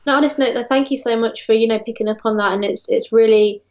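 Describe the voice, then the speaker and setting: A woman's voice: a little high, fast talker. A woman with an English accent, a singer-songwriter answering interview questions over a call in a friendly, chatty way. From England. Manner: friendly, laughs often, uses fillers. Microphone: remote call. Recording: dull lo-fi call audio.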